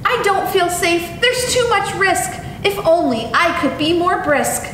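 A woman's voice: big pitch swings, unhurried, a bit bright, full character voice. A woman voicing an impatient, timid male tortoise from a children's storybook with dramatic delivery. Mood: anxious. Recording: echoey, distant mic with clear rumbling noise.

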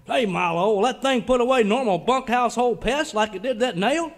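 High-voiced, folksy cowboy sidekick. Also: male voice, lively intonation, a little fast. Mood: amazed. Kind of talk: comic Western radio sketch.

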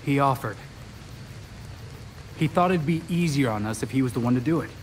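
A man's voice: mid-range voice, lively intonation, normal speed, a little hiss or hum, close to an everyday voice. An actor playing a frank young hero leading his team in a game, matter-of-fact with a wry edge. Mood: matter-of-fact, subdued. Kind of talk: cinematic game dialogue.

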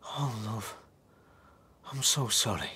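A man acting a scheming, smooth-talking estranged husband in a naturalistic radio drama. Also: fairly low pitch, animated, takes it slowly, sharp tone, exaggerated voice. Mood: pleading, soothing.

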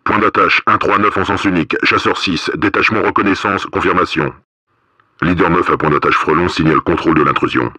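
An actor playing a stern, cold paramilitary police officer in a video game, giving terse commands through a radio filter. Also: male voice, deep voice, fairly even intonation, a little fast, sharp tone, dry recording, heavily acted. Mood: clipped reporting. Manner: terse, commanding, coded military phrases.

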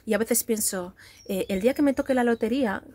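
A woman's voice: fairly high pitch, a little fast. A woman, chatting casually as she gives a house tour on a phone held at arm's length on an outdoor terrace. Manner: chatty, animated, cheering on her son. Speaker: family vlogger. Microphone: handheld phone at arm's length.

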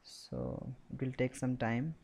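Slow, flat-toned man giving a tutorial. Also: medium pitch, creaky, breathy edge. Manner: halting, repetitive, instructional. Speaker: software tutorial presenter. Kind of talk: step-by-step screen tutorial, unscripted.

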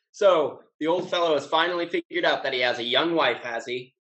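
Breezy, higher-voiced young rake played by an actor. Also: male voice, normal speed, pushed and bright, poor audio. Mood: amused, mocking. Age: young adult. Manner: jaunty, mocking period speech. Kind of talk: Restoration-style stage comedy over video call. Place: home room with bookshelves, video call.

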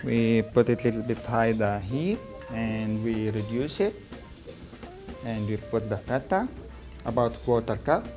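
A middle-aged man, a restaurant chef, explaining a recipe in halting full of pauses. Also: medium pitch, music in the background. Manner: halting non-native English with many uhs. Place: studio kitchen set.

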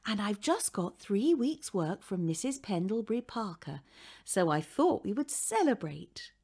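A woman's voice: medium pitch, big pitch swings, measured pace, darkish tone, slightly rough, exaggerated voice. A woman narrator plays a kindly mother in a storybook. She speaks tenderly and reassuringly, with cheerful affection for her young daughter.

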